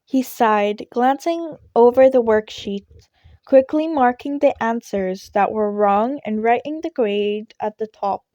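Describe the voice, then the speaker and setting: Female amateur narrator for scripted fiction, fairly high pitch, dry recording. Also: a little slow, dark tone, no room sound.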